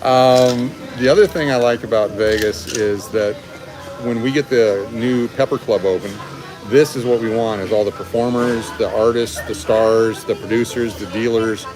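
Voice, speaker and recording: A man's voice: mid-range voice, fairly even intonation, normal speed. A middle-aged man hosting a casual, bantering live stream from a restaurant table into a handheld phone. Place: restaurant dining room, indoors. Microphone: phone at arm's length. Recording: music playing in the room. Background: music.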